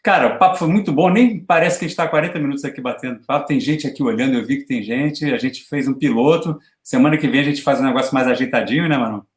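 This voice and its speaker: A man hosting a casual live chat about football, easygoing and quick, laughing often. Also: mid-range voice, echoey room. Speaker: host. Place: home, live video stream.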